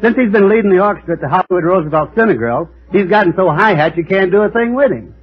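Complaining piano player, husky, fairly high, lively pitch, old radio comedy, dull sound. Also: male voice, fast talker. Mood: dry complaint. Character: grumbling, deadpan male piano player on the telephone. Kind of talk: 1940s-50s radio comedy acting.

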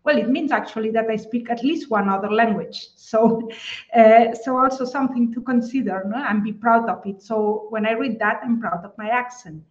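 A woman's voice: fairly high pitch, normal speed. A woman with a Spanish accent speaking as a panelist in an online discussion, thoughtful, with many small restarts. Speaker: webinar panelist. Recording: echoey dull webinar audio.

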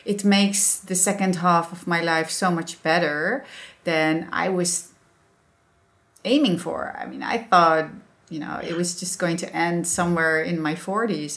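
A middle-aged Dutch woman with a Dutch accent, a podcast guest talking reflectively with halting restarts. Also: fairly low pitch, a bit bright. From Netherlands. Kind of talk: casual interview chat.

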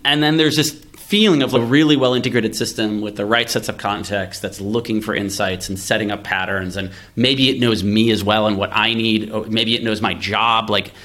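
Male podcast host, slightly deep voice. Conversational explainer who reasons out loud and asks rhetorical questions. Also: steady tone, moderate pace, hardly any pauses. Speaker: podcast host, venture investor. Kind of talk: casual conversational tech discussion.

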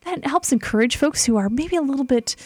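A woman's voice: high voice, animated, brisk, a little mellow, no echo. Upbeat woman promoting local food businesses. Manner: upbeat, promotional, frequent fillers. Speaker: kitchen director, guest. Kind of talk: interview answers.

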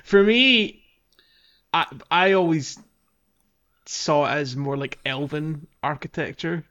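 A man's voice: fairly high pitch, expressive, slow, sharp tone, muffled recording. A man co-hosting a podcast, talking casually about a video game. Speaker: podcast co-host.

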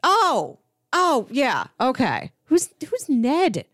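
A woman's voice: high voice, wildly swooping pitch, extremely slow, fairly bright tone, no echo, studio quality. A woman co-hosting a film podcast, talking in a casual, conversational way.

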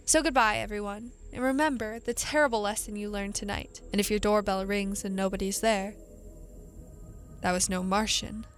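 A woman's voice: medium pitch, fairly even intonation, normal speed, soft background music. A woman giving a playful, theatrical scripted sign-off to radio listeners. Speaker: radio drama director and star signing off.

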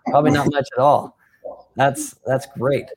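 A man's voice: medium pitch, slow pace, a little mellow, slight background noise, poor audio. A man hosting a casual sports interview, upbeat and effusive in his praise of his guest. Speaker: interview host.

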